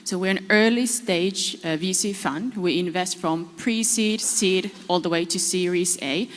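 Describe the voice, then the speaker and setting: A woman's voice: on the low side, a little slow. Finnish-accented woman in an echoey hall. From Finland. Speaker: panelist, venture capital investment manager. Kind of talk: prepared self-introduction to a conference audience.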